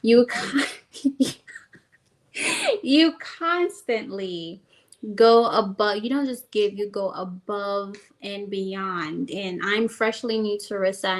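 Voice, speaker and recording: A woman from Jamaica with a Jamaican accent, a guest on a talk show, heaping effusive praise on the host. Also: medium pitch. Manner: slow, warm praise, effusive. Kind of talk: casual chat show talk.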